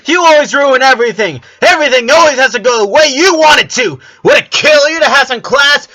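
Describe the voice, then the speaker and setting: A man's voice: very high voice, lively intonation, fairly quick, pushed and bright, pitched above the performer's own voice, heavily acted, rough recording. A man voicing furious, bratty young twin girls in a story, screaming accusations.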